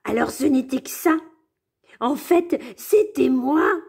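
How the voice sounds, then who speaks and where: A woman's voice: mid-range voice, animated, measured pace, vocal fry, breathy edge, exaggerated voice. A woman voicing a grumpy male wolf who keeps complaining about his sore feet, in a children's storybook reading. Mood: relieved. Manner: whiny, complaining.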